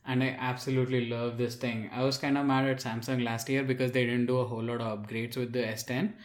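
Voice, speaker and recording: In a noticeably echoey room, a man with a medium-pitched voice talks tech as a podcast co-host. His delivery is casual, opinionated and enthusiastic. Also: flat delivery, quick pace. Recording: roomy, echoing room sound.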